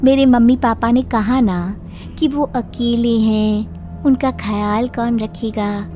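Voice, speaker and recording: A young female ghost played by a woman speaks slowly in a highish, mellow voice. She is an eerie, demanding daughter from a cartoon horror tale, taunting and insistent. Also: steady tone, soft background music. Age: young adult. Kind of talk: animated horror story dialogue.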